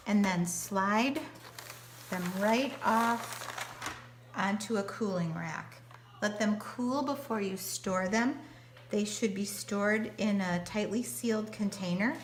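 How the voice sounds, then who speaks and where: An American woman giving patient, step-by-step cooking instruction in simple, plain terms. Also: mid-range voice. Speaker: teacher giving a cooking demonstration. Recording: noticeable background noise.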